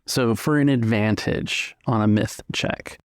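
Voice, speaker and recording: A man's voice: lowish voice, measured pace, dry recording, clean studio sound. A man narrating a tabletop roleplaying game as its game master.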